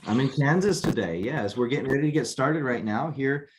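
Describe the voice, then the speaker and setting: A man hosting a weekly video call, talking in a relaxed, halting way and often repeating words. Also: mid-range voice, slight background noise, low-quality recording. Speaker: host.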